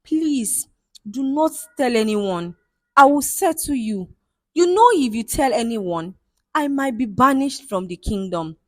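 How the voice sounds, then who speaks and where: A woman's voice: on the high side, animated, measured pace, dry recording. A woman with a Nigerian accent voicing a grieving village husband who pleads and frets. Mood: pleading.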